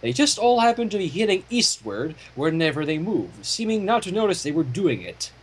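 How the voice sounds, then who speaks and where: A man with a fairly high, very animated voice reads a story aloud. He is expressive and drops in casual commentary. There is some noise in the recording. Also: normal speed. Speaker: fan fiction reader. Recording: home microphone with clear noise.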